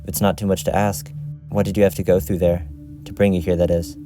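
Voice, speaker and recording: A man voicing a principled, idealistic outcast vigilante leader in an amateur animated series, giving earnest little speeches. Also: sits low, quick pace, music in the background, lightly acted. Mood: resolute.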